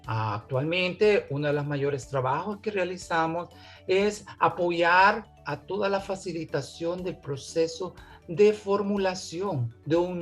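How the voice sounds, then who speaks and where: A man gives a steady, formal statement as a coordinator, pausing now and then on fillers. Soft music plays quietly under his voice. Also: a little high. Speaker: movement coordinator. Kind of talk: recorded video statement.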